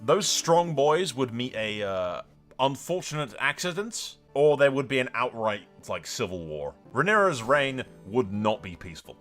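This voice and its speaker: A man's voice: mid-range voice, sing-song, measured pace, fairly bright tone, faint music behind. A man hosting gameplay commentary with roleplay, sweary and emphatic, rambling and given to theatrical declarations. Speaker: gaming content creator and host.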